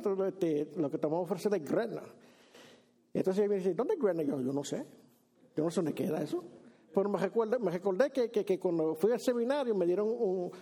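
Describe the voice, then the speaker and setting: A man's voice: high voice, dark tone, slightly rough. A man from Brooklyn preaching a sermon in a church, speaking conversationally with frequent restarts and occasional English phrases. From Brooklyn, New York. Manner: conversational, many restarts and repeated words, mixes in English phrases. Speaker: pastor. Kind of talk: sermon with Bible reading. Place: church sanctuary.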